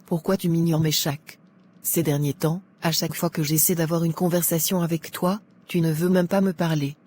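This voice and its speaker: A woman playing a meek, wounded young wife who pleads plaintively in an animated moral drama. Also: sits low, normal speed, slight background noise, natural voice. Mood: hurt.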